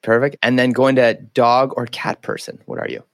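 A man hosting a podcast, upbeat and quick to praise his guest in casual chat. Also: mid-range voice, level tone, no echo, studio quality. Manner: enthusiastic, repeats "that's amazing". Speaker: podcast host.

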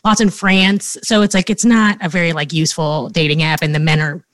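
A woman's voice: lowish voice, little pitch movement, a little fast, fairly bright tone, no echo. A woman raised in an Armenian family, chatting candidly and jokingly on a podcast. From Armenian family in Glendale area. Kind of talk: casual chat.

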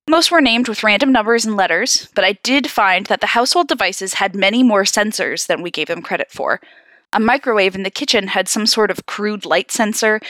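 Animated, bright-voiced woman reading aloud. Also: medium pitch, no room sound. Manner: slangy, sarcastic, expressive asides. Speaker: podcast host reading horror stories aloud. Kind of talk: story read-aloud mixed with casual banter.

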